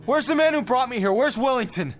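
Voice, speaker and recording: An actor in a radio play voicing an agitated, desperate time traveler, urgent and pleading, faltering when dazed. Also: male voice, very high voice, animated, a little fast. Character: desperate, agitated male time traveler trying to avert an assassination. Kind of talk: dramatic radio play acting. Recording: music bed behind the voice.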